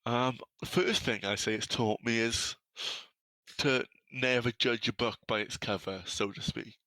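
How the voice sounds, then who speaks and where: A young man with a Welsh accent talks openly and earnestly, catching on the first sounds of some words as he stammers. His voice is bright and clear, at a middle pitch. The recording is dry and close. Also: fairly even intonation, unhurried, dry recording, rough recording. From South Wales. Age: young adult. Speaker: guest. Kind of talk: podcast interview.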